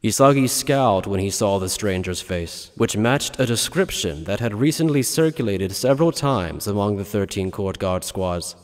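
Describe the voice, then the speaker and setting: A man's voice: fairly low pitch, animated, moderate pace, hardly any pauses. Male narrator in a long reverb. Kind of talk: scripted dramatic audiobook narration.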